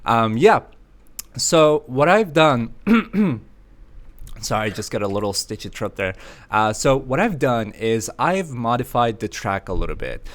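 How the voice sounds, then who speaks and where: A man from Sweden hosts a casual livestream and talks straight to his viewers. He is playful and chatty and breaks into laughter often. His voice sits at a middling pitch for a man. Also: very animated, measured pace, a bit bright. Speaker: livestream host.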